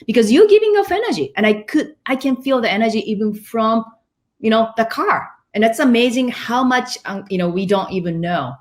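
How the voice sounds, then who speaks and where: A woman's voice: on the high side, lively intonation, fairly quick. Enthusiastic fifty-something woman teaching face yoga. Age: 50s. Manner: enthusiastic, non-native phrasing. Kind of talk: webinar chat and instruction.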